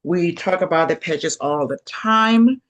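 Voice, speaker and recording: A woman's voice: fairly low pitch, lively intonation, unhurried. A woman hosting a casual group video call who talks in an enthusiastic, effusive way and laughs often.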